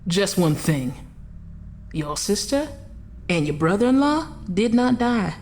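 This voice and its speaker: An actress playing a calm, sinister coroner in a radio drama, speaking in a measured, formal way. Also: female voice, medium pitch, slight background noise. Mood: cold. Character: calm, sinister female coroner who claims souls.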